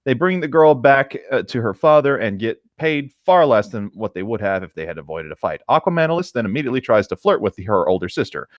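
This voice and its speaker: A man reads stories aloud and comments on them casually. His delivery is lively, moving freely in pitch. The sound is dry, with hardly any room to it. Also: quick pace, hardly any pauses, no room sound, lo-fi sound. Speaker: co-host reading online stories aloud.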